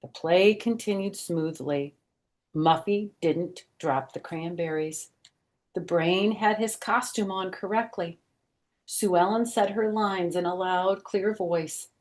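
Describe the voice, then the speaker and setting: A woman's voice: lowish voice, darkish tone. A woman hosting storytime, reading a picture book aloud expressively and adding chatty asides.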